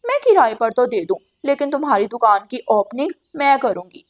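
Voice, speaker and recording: A woman voicing a greedy, haughty female crow with a sharp, scornful manner in a cartoon story. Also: a little high, very animated, normal speed. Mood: irritated, haughty. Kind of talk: Hindi animated moral-story dialogue.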